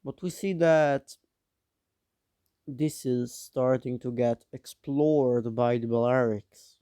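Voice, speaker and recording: A man's voice: mid-range voice, slow, dark tone, clear, resonant tone, no room sound, studio quality. A young man narrating a map scenario in a casual, rambling way, with offhand teasing asides; he is a bit sick. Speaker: mapping video narrator.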